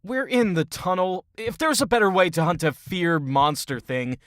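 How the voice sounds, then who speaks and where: A woman's voice: on the low side, moderate pace, no room sound, clean studio sound, close to an everyday voice. A woman playing a blunt, skeptical adventurer in a fantasy audio drama, with a wry way of speaking.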